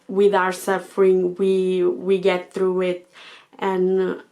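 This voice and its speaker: A Portuguese woman speaking English as a second language, thinking aloud to her channel with many pauses and fillers. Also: lowish voice, completely flat delivery, dark tone. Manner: thoughtful, hesitant, with frequent fillers. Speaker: channel host. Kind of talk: reflective monologue to camera.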